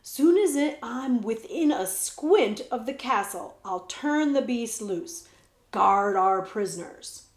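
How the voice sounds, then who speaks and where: A heavyset outlaw barks gruff orders, as a woman reading aloud puts him on. The voice carries an echoey room around it. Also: on the high side, expressive, slow pace. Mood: bossy. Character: rough hulking male outlaw, bossy.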